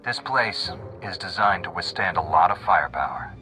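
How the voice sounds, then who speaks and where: A man's voice: sits low, animated, moderate pace, bright, forceful tone, soft background music, low-quality recording. A man playing an earnest, steadfast young Jedi hero in a video game, composed and direct. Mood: resolute.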